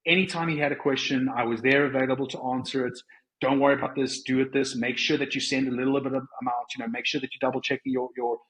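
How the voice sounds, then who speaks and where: A man with a South African accent talks in a conversational interview style at a medium pitch. He explains his points earnestly and often restarts or rephrases mid-sentence. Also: little pitch movement, quick pace, fairly bright tone, rough recording. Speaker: podcast guest.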